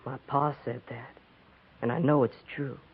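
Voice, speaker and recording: An old, narrow-band radio western recording carries an American farm boy on the run. He speaks in a husky voice, with respectful, halting country phrasing and an eager sincerity. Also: mid-range voice, steady tone. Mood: earnest, eager. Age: child or teenager. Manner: folksy rustic grammar, respectful, halting. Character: earnest runaway farm boy of thirteen, polite, eager. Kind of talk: 1950s radio western acting.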